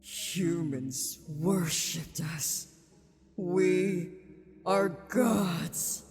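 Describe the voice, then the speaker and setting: In a huge echoing space with music swelling behind, a proud goddess of love speaks. She is bitter and scornful, drawing out every phrase very slowly and grandly. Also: fairly low pitch. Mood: desperate. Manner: grand, theatrical, slow-spoken. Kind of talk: visual novel voice acting. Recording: cavernous echoing hall reverb under loud music.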